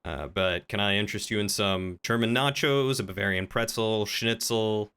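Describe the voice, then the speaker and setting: A man's voice: on the low side, moderate pace, a bit bright, smooth. A man improvising in-character roleplay chat as a courteous, folksy tavern keeper in an online game.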